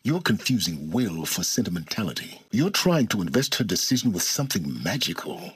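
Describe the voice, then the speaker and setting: An actor playing an arrogant, aloof older male scholar of ancient technology in a video game, speaking in a measured, formal, condescending way. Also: low-pitched, very animated, breathy edge, no echo, heavily acted. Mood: cool, insistent. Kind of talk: video game voice acting, dramatic dialogue.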